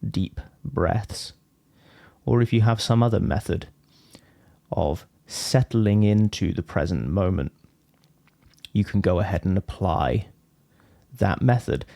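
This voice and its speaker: A man with a low, mellow voice leads a guided meditation at a very slow pace. He teaches in deliberate, measured phrases, leaving frequent pauses between them. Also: dry recording, studio quality.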